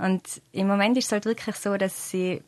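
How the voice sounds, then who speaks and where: A middle-aged woman with a Tyrolean accent talks casually as an interview guest. She feels her way through sentences at a medium pitch, in a dry, close recording. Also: fairly even intonation, moderate pace, no echo. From Tyrol, Austria. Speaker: singer-songwriter guest.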